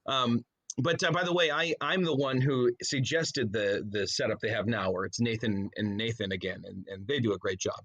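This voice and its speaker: A man's voice: mid-range voice, moderate pace, fairly bright tone. A man talking casually as a guest in a podcast interview, rambling and often interrupting himself with asides. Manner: rambling, self-interrupting storyteller with frequent trailing asides.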